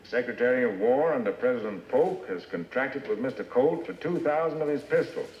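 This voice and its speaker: A man's voice: medium pitch, animated, fairly quick, a little hiss or hum, close to an everyday voice. An actor playing a skeptical, commanding sheriff in an old western, challenging and pointed in his delivery. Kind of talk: old western film acting. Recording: dull echoey old film sound, music behind.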